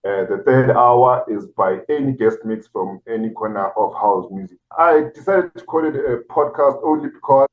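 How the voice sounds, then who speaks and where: A man's voice: mid-range voice, moderate pace, few pauses. A man, a DJ, introducing himself and his work as an interview guest. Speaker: DJ and music podcast host, as guest. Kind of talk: self-introduction in an interview clip. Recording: tinny, phone-like narrow audio.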